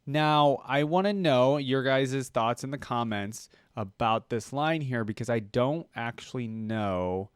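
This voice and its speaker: A man's voice: mid-range voice, unhurried, hardly any pauses, smooth, dry recording, studio quality, soft background music. A man giving casual reaction commentary as host of a gaming video.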